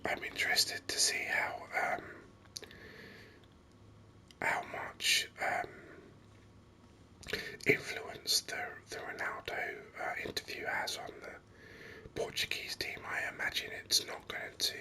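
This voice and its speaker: A person hosting a gaming video in soft, unhurried ASMR whispers, musing over the game as they go, close to the microphone.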